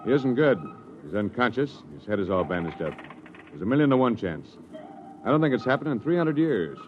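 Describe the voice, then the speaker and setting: An actor playing a crisp, dutiful spaceship officer reporting to a superior in a 1950s radio drama. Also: male voice, mid-range voice, sing-song, a little fast, close to an everyday voice. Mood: urgent, dutiful. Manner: crisp military replies. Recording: muffled, noisy old radio broadcast.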